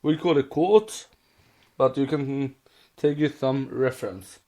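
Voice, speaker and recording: A Swedish man co-hosts a casual podcast in accented English. His voice sits in a middle register. He talks slowly, with halting, loosely built sentences. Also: medium pitch, mellow. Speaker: podcast co-host. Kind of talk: casual fan podcast chat.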